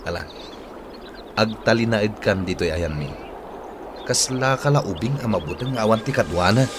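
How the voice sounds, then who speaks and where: A man's voice: fairly low pitch, lively intonation, normal speed, background noise, lightly acted. A man playing a devoted, reassuring romantic lead in a radio drama. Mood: teasing.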